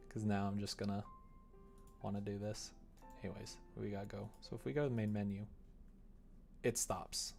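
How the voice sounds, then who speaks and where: A man's voice: fairly low pitch, level tone, unhurried, creaky, long pauses, a little mellow, soft background music. A young man livestreaming casually at his computer, thinking aloud in a meandering, muttering way. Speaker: livestreamer developing a visual novel. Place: indoor room at a computer.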